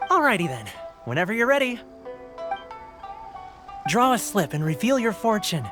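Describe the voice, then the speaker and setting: A man's voice: high-pitched, very animated, normal speed, over music. A man playing a welcoming, deferential fortune-slip stallkeeper in a video game, effusive and courteous. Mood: ceremonious.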